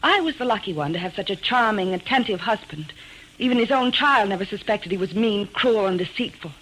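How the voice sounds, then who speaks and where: A woman's voice: medium pitch, expressive, fairly quick, fairly bright tone, a little hiss or hum, natural voice, low-quality recording. An actress plays a glamorous widow in an old radio play. She sounds wounded and bitter, in a theatrical 1940s style on a vintage recording.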